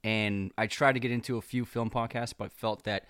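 A man from the United States with a flat, emotionless delivery, chatting as a podcast guest. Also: fairly low pitch, normal speed, clear, resonant tone, no echo. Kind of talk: casual chat.

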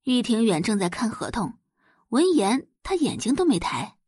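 Husky woman narrating a romance audio drama. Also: on the high side, very animated, moderate pace, a little mellow, no room sound, clean studio sound. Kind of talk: expressive scripted narration of a romance novel.

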